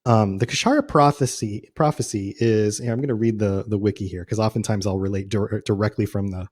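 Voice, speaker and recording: A man's voice: on the low side, fairly even intonation, fairly quick, darkish tone. Chatty, informal man hosting a podcast. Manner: chatty, informal, often chuckling. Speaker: podcast host. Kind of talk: casual interview chat about video game lore.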